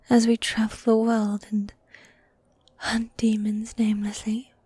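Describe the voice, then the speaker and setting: A woman voicing a teasing, tender demon girlfriend in a medieval fantasy ASMR roleplay, speaking in soft breathy whispers with formal, old-fashioned phrasing. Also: a little high, no echo, exaggerated voice. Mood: thoughtful, gentle. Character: gothic demon girlfriend, teasing, tender, dominant yet needy.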